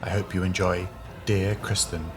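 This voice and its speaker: A man's voice: deep voice, little pitch movement, normal speed. A man announcing a story in a scripted, dramatic introduction style. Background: music.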